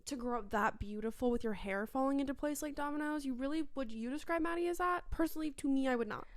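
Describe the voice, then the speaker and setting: High-voiced young woman, soft-spoken. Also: quick pace. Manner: soft-spoken, laughs often, animated bursts. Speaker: podcast co-host. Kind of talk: casual podcast debate.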